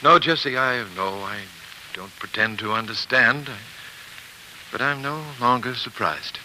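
A man's voice: medium pitch, a little slow, natural voice. An actor playing a stern, principled older senator and father in an oratorical 1940s radio drama style. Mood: grave, hesitant. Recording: hissy 1940s radio recording.

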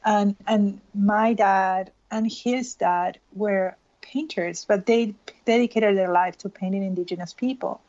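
A woman's voice: medium pitch, fairly even intonation, a little slow, hardly any pauses, darkish tone, muffled recording. A woman from Peru, an illustrator speaking earnestly in an interview and often restarting her phrases. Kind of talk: casual interview.

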